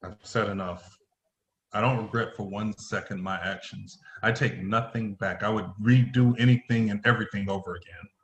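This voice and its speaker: A man's voice: lowish voice, steady tone, moderate pace, a little hiss or hum, natural voice. A man playing a defiant, self-justifying company boss under interrogation in a play reading. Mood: cold, unrepentant. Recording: roomy echo.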